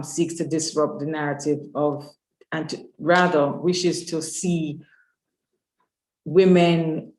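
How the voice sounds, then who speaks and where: Webinar host, woman, low register, measured semi-formal speech with hesitant pauses, echoey room sound. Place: indoors on an online webinar call. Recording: roomy, echoey webinar audio.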